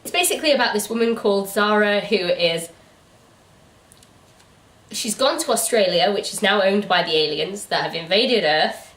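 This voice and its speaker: A woman with a medium-pitched voice chats casually as a review show co-host. Her storytelling is animated and incredulous. The room is echoey and she sits back from the microphone. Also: moderate pace, far from the mic. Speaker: book review channel co-host. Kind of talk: casual chatty book review. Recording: echoey room, away from the mic.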